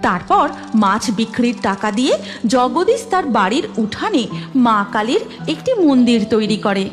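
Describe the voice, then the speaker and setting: Female narrator for a devotional cartoon tale, high and animated delivery. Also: moderate pace, faint music behind. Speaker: story narrator.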